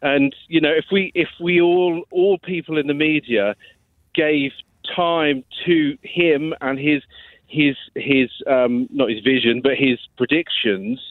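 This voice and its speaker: A man's voice: fairly high pitch, slow, dry recording. A man calling a radio phone-in, talking earnestly and hesitantly, apologetic and often repeating himself. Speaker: phone-in caller. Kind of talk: casual, earnest phone-in conversation. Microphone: telephone. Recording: tinny narrow-band phone line.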